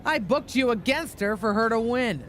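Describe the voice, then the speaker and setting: A woman's voice: on the high side, lively intonation, normal speed, a bit bright, low-quality recording. A woman playing a blunt, sardonic, exasperated wrestling booker in a video game scene. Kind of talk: naturalistic video game dialogue. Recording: faint background ambient noise.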